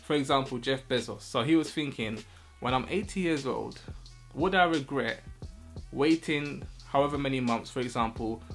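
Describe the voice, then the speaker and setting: A man's voice: mid-range voice, fairly bright tone. A man talking casually to camera as a vlogger, in a loose, chatty way, often checking in with his listener.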